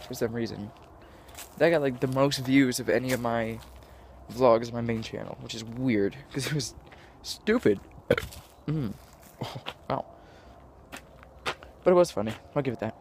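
A man's voice: mid-range voice, moderate pace, long pauses, a little mellow, slight background noise. A teenage boy with a nasal, congested voice, rambling jokily in a selfie vlog recorded on a phone at arm's length outdoors. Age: teens. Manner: rambling, self-mocking, jokey. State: congested sinuses. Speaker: vlogger. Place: outdoors in cold woods, then indoors.

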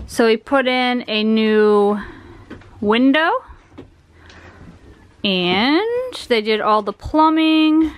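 Slow-talking woman on a relaxed home tour. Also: a little high, slight background noise. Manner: relaxed, rambling, with restarts and trailing phrases. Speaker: vlogger giving a home tour. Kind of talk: casual walkthrough narration. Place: indoors, empty rooms of a house under renovation. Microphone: handheld phone camera.

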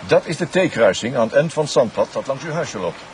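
Over noisy ambience, a weary police detective acted by a man speaks Netherlands Dutch in a medium-pitched voice. He is businesslike and clipped, a sleepless investigator in a naturalistic radio play. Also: expressive, a little fast, lightly acted. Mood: weary, explaining. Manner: matter-of-fact, clipped questioning. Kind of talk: naturalistic radio drama acting.

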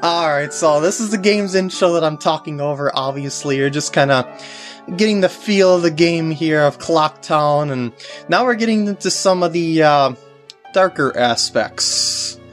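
A young man giving casual, unscripted walkthrough commentary in a halting way with wry asides. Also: fairly high pitch, soft background music.

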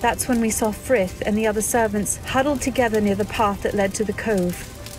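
An actress plays a refined young wife recalling her past in an old-time radio drama. The voice is measured, literary and wistful, medium in pitch, with faint music behind. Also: darkish tone, lightly acted, poor audio.

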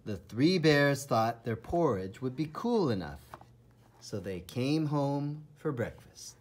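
Husky, animated male storybook reader. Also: medium pitch. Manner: dramatic, deliberate, enthusiastic. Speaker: read-aloud storyteller. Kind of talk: expressive children's storybook narration.

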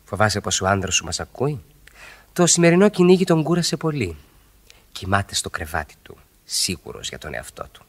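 An actor playing an urbane, teasing married country-house host in Greek radio theatre, wry and provocative. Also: male voice, lowish voice, sing-song, breathy edge, heavily acted. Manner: wry, provocative, mock-respectful.

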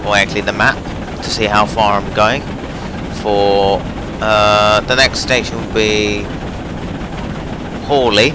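Low, slow-talking young man, strained voice. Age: young adult. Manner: rambling, hesitant, many fillers. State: losing his voice. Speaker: gaming channel host. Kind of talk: casual train-simulator gameplay commentary. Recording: noisy home recording.

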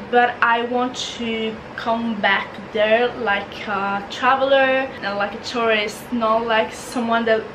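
A woman's voice: a little high, noisy background. A twenty-year-old woman with an Italian accent, chatting casually to camera in her bedroom, often repeating and restarting words. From Italy. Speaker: vlogger. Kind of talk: casual Q&A chat. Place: bedroom, indoors.

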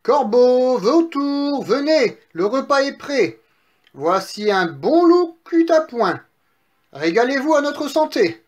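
A man's voice: sits high, normal speed, hardly any pauses. A man voicing a confident, cunning eldest little pig from a children's storybook, bold and pleased with himself. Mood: triumphant. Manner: bold, self-satisfied.

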